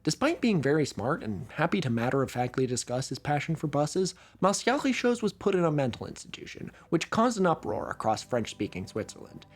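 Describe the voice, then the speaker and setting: A man's voice: fairly quick, hardly any pauses. A man from Oregon narrating a documentary in a wry, conversational storytelling manner. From Oregon, USA. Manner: wry, conversational storytelling with lively pitch swings.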